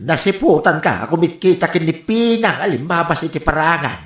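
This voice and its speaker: A man's voice: a little high, lively intonation, brisk, a bit bright. An actor playing a mischievous, nosy old father in a radio comedy drama, with a Filipino accent. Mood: mischievous, needling. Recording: echoey room sound.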